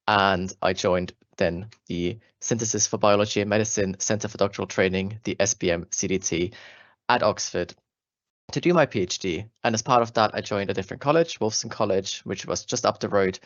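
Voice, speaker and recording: Low-voiced Austrian man giving a talk. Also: normal speed, no room sound, dull audio. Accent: Austrian German. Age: 20s. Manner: earnest, friendly, with fillers and restarts. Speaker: doctoral student speaker. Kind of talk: school conference talk.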